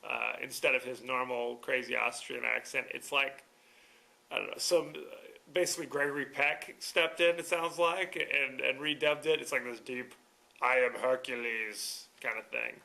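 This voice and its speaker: A man's voice: medium pitch, steady tone, moderate pace, creaky, bright, forceful tone. A man hosting a movie podcast alone, talking casually in a wry, rambling way with chuckling asides. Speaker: podcast host. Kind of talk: casual solo movie review.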